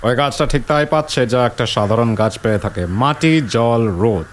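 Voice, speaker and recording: A man's voice: mid-range voice, fairly quick, a little hiss or hum, close to an everyday voice. A man playing a skeptical, pragmatic school principal in an audio drama, dry, scornful and lecturing. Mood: dismissive.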